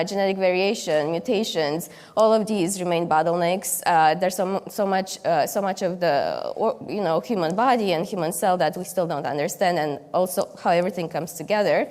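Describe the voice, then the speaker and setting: A woman's voice: medium pitch, a little mellow. A young woman from Romania giving a conference keynote, speaking hesitantly with many fillers and restarts. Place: conference hall stage. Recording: long reverb of a conference hall.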